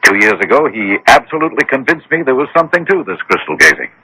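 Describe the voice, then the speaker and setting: A man's voice: medium pitch, big pitch swings, fast, sharp tone, husky, full character voice, poor audio. An actor playing a wealthy, anxious, defensive man obsessed with the occult, insistent and dramatic, in radio drama style. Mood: earnest. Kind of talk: 1980s radio drama acting. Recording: old radio drama broadcast.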